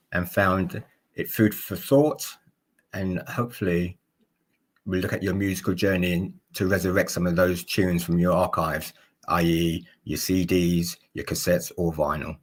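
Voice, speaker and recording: A man's voice: deep voice, slightly rough. A man giving a prepared talk as a guest speaker over a video call, posing questions straight to his listeners. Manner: rhetorical, addresses listeners directly.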